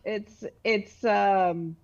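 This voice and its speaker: Slightly muffled, lo-fi audio carries a woman's mid-pitched voice. She chats casually and informally, as a guest on a podcast. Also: steady tone, extremely slow, a little mellow. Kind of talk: casual chat.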